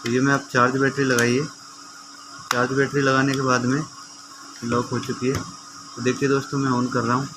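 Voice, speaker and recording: A man's voice: mid-range voice, flat delivery, moderate pace, long pauses, background noise. A man presenting an informal how-to demonstration, explaining step by step in a conversational way and addressing viewers like friends. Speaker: repair tutor presenting a how-to video.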